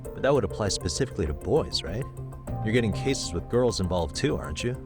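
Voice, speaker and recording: A good-natured game detective with a low voice. He speaks casually and calmly, probing with a relaxed curiosity. Music sits clearly behind the dialogue. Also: a little fast. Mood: calm, curious. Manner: relaxed, inquisitive. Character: calm, good-natured visiting male private detective. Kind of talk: video game voice acting, conversational. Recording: background music under the dialogue.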